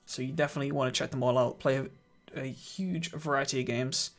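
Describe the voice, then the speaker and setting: A man streaming a game live, chatting casually with viewers and laughing often, his voice a little croaky. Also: medium pitch, fairly even intonation, a little fast, a bit bright. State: slightly croaky voice. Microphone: studio microphone.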